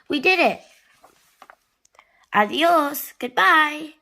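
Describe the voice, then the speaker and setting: A woman's voice: high-pitched, animated, very slow, creaky, sharp tone, voice pushed up, full character voice. A woman voicing a cheerful, confident little girl adventurer from a storybook, with encouraging exclamations. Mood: triumphant.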